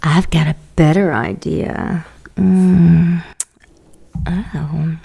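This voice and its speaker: Actress voicing a flirty scheming demon woman, a little low, audio drama. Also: steady tone, very slow, vocal fry, dark tone, husky, heavily acted. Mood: flirtatious. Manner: sly, flirtatious. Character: seductive scheming female demon.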